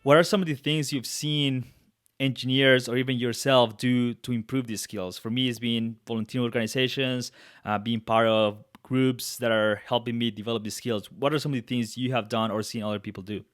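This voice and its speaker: A man from Colombia with a Colombian Spanish accent hosts an interview and speaks earnestly, often restarting his phrases mid-thought. His voice sits at a middle pitch for a man. The sound is dry and close, with no room around it. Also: steady tone, normal speed, no echo. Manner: earnest, reflective, with frequent restarts. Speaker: podcast host. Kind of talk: interview conversation.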